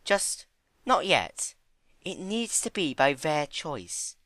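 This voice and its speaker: Man voicing a gentle, timid girl pony, higher-pitched. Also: big pitch swings, takes it slowly, no echo, heavily acted. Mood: hesitant. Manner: soft, hesitant. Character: Fluttershy, gentle, timid, earnest female pony. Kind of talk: dramatic fan fiction reading.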